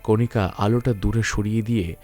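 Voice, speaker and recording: A man narrating a scripted suspense story in a measured, literary storytelling manner. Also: lowish voice, steady tone, dark tone, faint music behind.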